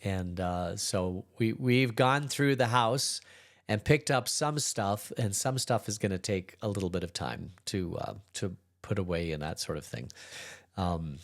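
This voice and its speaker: A middle-aged pastor with a hoarse, congested voice, leading a relaxed, rambling Bible study. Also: male voice, sits low, a little slow. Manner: relaxed, rambling, chuckles between thoughts. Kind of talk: casual daily Bible study talk.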